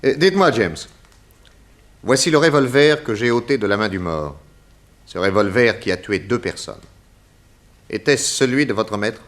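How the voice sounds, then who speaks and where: An actor playing a confident private detective in a 1960s French radio crime serial, speaking in a courteous, methodical and theatrical way. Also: male voice, on the low side, lively intonation, moderate pace, pauses often, fairly bright tone. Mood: formal, commanding. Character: confident male private detective exposing a murderer.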